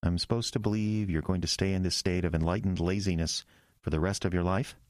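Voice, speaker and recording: An earnest young small-town pastor in a radio play , acted by a man. His voice is quite deep and closely recorded with no room sound, and he speaks in a measured, tense way. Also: steady tone, darkish tone, dry recording, studio quality, close to an everyday voice. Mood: firm, suspicious. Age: young adult. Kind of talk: audio drama acting.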